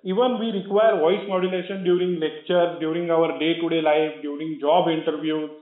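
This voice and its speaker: A man's voice: a little high, steady tone, moderate pace. A man hosting a podcast interview, polite and brief, asking questions and thanking his guest. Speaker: podcast host. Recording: roomy, tinny phone-like sound.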